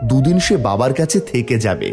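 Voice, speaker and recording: Narrator for a cartoon folk tale: man, mid pitch, very animated delivery. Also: normal speed, music in the background. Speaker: story narrator.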